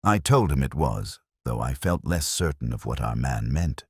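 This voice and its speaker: Man acting older English detective, very deep voice, dry close sound, measured literary narration, brooding, audio drama. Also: animated, breathy edge, no echo, heavily acted. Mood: brooding, reflective.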